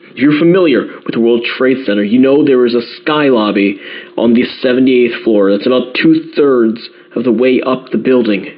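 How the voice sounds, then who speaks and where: A man playing a grave New York radio newsman in an audio drama, delivering breaking news in a measured, careful way. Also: mid-range voice, natural voice. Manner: measured, careful, repeating key details. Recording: slightly dull radio broadcast sound.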